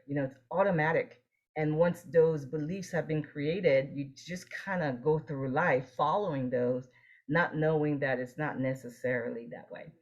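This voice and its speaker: A woman's voice: sits low, highs cut off. A woman from Haiti, speaking as a guest in an interview and telling her personal story in an earnest, reflective way. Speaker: guest, optometrist and leadership coach.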